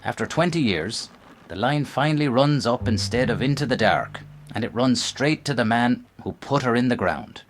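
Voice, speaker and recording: A man's voice: mid-range voice, expressive, moderate pace, slight background noise, lightly acted. Man playing a stubborn, grieving veteran cop. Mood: defiant. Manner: intense, defiant. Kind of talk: 1930s noir radio drama.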